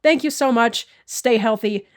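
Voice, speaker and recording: Podcast host lady reading scripted thanks, voice a bit high. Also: unhurried, clean tone.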